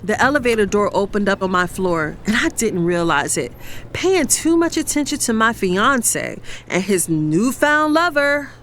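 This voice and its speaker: An actress playing a conflicted, lovestruck professional woman, telling her story in an expressive, confiding, exasperated way for an audio drama. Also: mid-range voice, moderate pace, a little hiss or hum. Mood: flustered. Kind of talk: dramatized first-person audio fiction narration.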